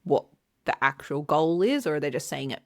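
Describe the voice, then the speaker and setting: Podcast host, woman in her forties, deep creaky voice, easy and laughing. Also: expressive, a little fast, no room sound, clean studio sound. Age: 40s. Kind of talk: casual interview chat.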